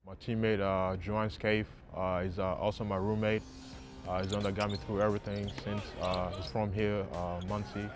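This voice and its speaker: A young man from South Sudan, a college basketball player, answering interview questions haltingly with many fillers, on a lapel mic in a dark empty arena. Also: lowish voice, flat delivery, music in the background. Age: young adult.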